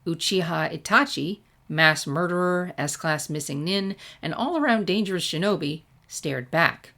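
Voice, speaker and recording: A woman's voice: on the low side, expressive, a little slow, creaky, a bit bright. A woman reading a scripted story aloud as an audiobook-style narrator. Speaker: audiobook-style reader of fan fiction.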